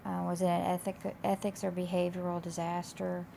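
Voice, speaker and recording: A woman instructor with a slightly raspy, hoarse voice, explaining coursework in a casual, loosely phrased, conversational way. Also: on the low side, completely flat delivery, moderate pace, mellow. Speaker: college instructor. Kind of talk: informal explanation of course assignments. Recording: clear background noise.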